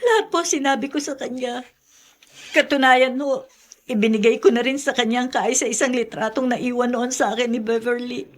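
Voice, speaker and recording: An actress with a Filipino accent playing an anxious, tearful adoptive mother in a radio drama, flustered and halting. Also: on the high side, fairly even intonation, close to an everyday voice. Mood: worried, tearful.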